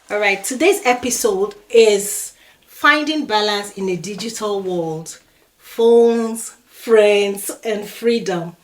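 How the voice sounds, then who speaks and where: Middle-aged woman hosting a panel. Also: mid-range voice. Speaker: podcast host and moderator.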